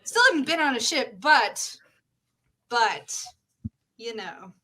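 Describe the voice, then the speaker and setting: A woman's voice: a little high, expressive, slow, sharp tone, exaggerated voice, low-quality recording. A woman playing a breezy, naive new sky pirate with a casual, hesitant delivery in a tabletop roleplaying game. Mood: breezy, unsure. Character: young, naive, breezy rookie sky pirate from shipping family.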